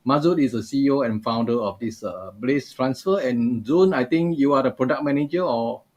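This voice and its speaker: A man's voice: mid-range voice, animated, normal speed, a little mellow, lo-fi sound. A man hosting a live webinar over a video call, talking loosely and padding his sentences with "uh" and "you know". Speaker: webinar host.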